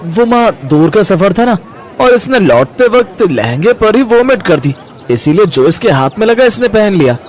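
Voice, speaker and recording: A man's voice: high-pitched, big pitch swings, normal speed. A man voicing a confident, earnest young son in a family cartoon. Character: confident young working son bringing home a love match.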